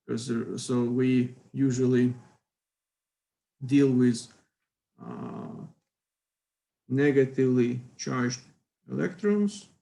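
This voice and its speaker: A man's voice: mid-range voice, sing-song, extremely slow, vocal fry, long pauses, dark tone, low-quality recording. A middle-aged man from Ukraine, giving a university physics lecture in a hesitant way, with many fillers and careful phrasing.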